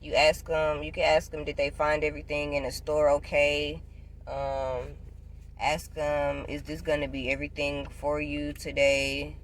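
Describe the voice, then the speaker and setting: A woman in her twenties chatting casually to camera, giving job advice, loud and playful and repeating herself often. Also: low voice, little pitch movement, measured pace, a little hiss or hum. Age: 20s. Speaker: vlogger.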